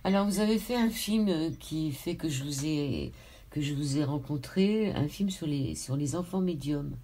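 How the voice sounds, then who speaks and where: A woman hosting a radio interview, introducing her guest in a measured way and spelling out names. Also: low voice, lively intonation, warm tone. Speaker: radio host.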